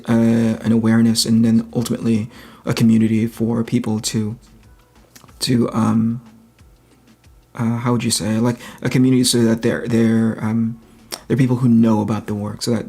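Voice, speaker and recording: A man with a relaxing, soft-edged voice chats casually on a livestream while he works, thinking aloud and searching for his words. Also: mid-range voice, husky. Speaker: livestream host, comic book artist.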